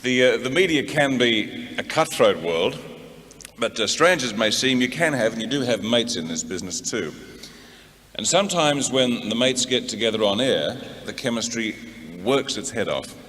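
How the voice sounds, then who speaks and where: A man's voice: mid-range voice, expressive, normal speed, fairly bright tone. Australian male presenter in a reverberant hall. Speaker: tribute presenter. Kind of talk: scripted introduction with casual touches.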